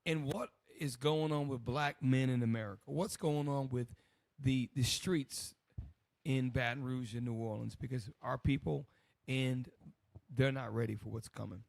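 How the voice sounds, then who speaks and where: A man, hoarse today, hosting a loose, rambling preaching commentary. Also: medium pitch, fairly even intonation, unhurried, darkish tone, no room sound. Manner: loose and rambling, many restarts. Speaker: host.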